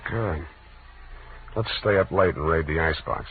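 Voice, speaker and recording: An actor playing a hard-boiled, cynical private detective with a dry, sardonic, slangy delivery in a 1940s radio drama. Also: male voice, very deep voice, normal speed. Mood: wry, softening. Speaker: lead character and narrator. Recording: noisy, narrow-band old radio recording.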